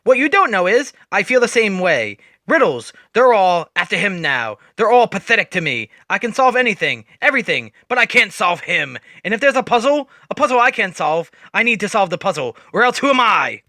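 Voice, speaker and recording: A man's voice: high-pitched, very animated, a little fast, hardly any pauses, sharp tone, no echo, clean studio sound, full character voice. A man voicing a smug, obsessive male puzzle-master villain, theatrical and lecturing, in a dramatic comic-book reading. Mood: intense, obsessive. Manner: theatrical, didactic, self-assured.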